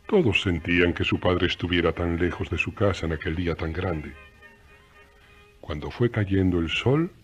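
A man's voice: deep voice, normal speed, faint music behind, lightly acted. A man narrating a radio drama as a scripted storyteller.

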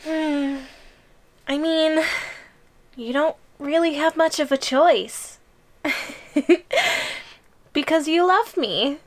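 A woman's voice: high voice, very slow, long pauses. A woman playing a playful, possessive giantess girlfriend in an audio roleplay, gleefully menacing, teasing and condescending. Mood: smug threatening. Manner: teasing, giggly, smug and condescending. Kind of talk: audio roleplay ASMR drama.

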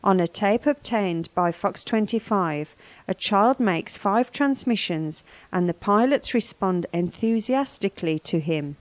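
A woman's voice: medium pitch, animated, unhurried, no room sound, lo-fi sound. A woman giving scripted lesson narration, reading vocabulary and a news report. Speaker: language lesson presenter.

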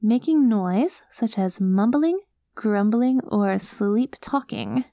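A woman performs as a sweet young alien girl on a rescue mission. Her voice is fairly high yet soft and mellow, with an unhurried pace. She is earnest and easily flustered, talking to herself in an intimate ASMR audio drama. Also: expressive, no room sound, studio quality. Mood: careful reading aloud. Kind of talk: ASMR roleplay acting, soft-spoken.

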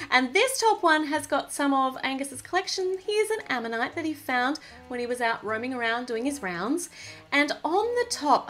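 A woman's voice: high voice, a bit bright. A woman casually narrating a toy build at a table, thinking aloud and gushing over the cute little details.

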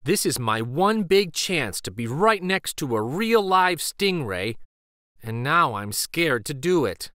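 Man as a nervous, earnest schoolboy, animated. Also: fairly high pitch, slow, dry recording, studio quality, heavily acted. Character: nervous, bookish young schoolboy in a storybook.

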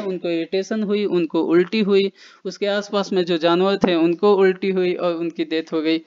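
Guy talking thru slides, high smooth voice, kinda muffled lo-fi audio. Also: a little mellow. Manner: loose conversational explaining with fillers and restarts. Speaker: educational channel presenter. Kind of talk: explanatory voice-over over slides. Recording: dull lo-fi voice-over.

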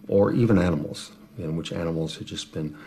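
An American man speaking in a documentary interview as an expert, with a gravelly voice. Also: deep voice, fairly quick, dark tone. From rural Georgia, United States. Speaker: criminal profiler interviewee.

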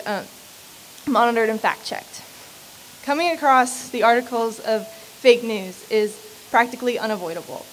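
A woman's voice: fairly high pitch, rough recording. A late-teen American woman reading her essay aloud into a podium microphone outdoors as a student speaker. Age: late teens. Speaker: student essay contest winner. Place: outdoor podium on building steps. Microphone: stand microphone at podium. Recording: clear hiss behind voice.

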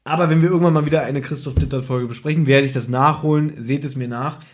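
A man's voice: on the high side, steady tone, quick pace. A tired man co-hosting a podcast, bantering casually in a blunt, teasing way. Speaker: podcast co-host.

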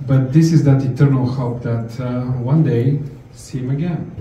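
Middle-aged Bulgarian-accented man, dark mellow tone, animated memorial talk, heard from across a reverberant hall. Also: mid-range voice, normal speed. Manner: animated, gesturing, laughing storyteller. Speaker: eulogy speaker, missionary. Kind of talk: memorial speech. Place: large event hall, indoors. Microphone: handheld mic through room speakers. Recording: far-off echoey hall, faint noise.

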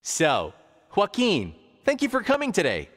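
An upbeat cartoon game show host for a video game. His pitch is fairly high and leaps dramatically, with slow, emphatic delivery. The voice rings through a large hall. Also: wildly swooping pitch, fairly bright tone, full character voice. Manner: theatrical, emphatic announcing. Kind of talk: scripted quiz show presenting. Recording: large hall reverb, faint crowd noise.

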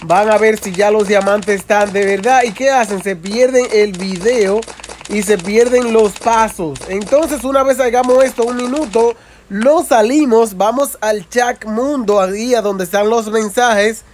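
A man's voice: high-pitched, unhurried, a little hiss or hum. A man narrating a casual mobile game tutorial, explaining step by step with frequent repetition and informal asides to viewers. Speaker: gaming video creator.

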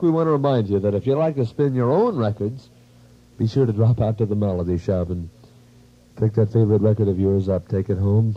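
A muffled, lo-fi radio recording from the 1950s carries a low-pitched American man. He is a disc jockey introducing records in practiced patter, punching the starts of his phrases and tossing in catchphrases. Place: radio studio. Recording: dull lo-fi 1950s radio aircheck.

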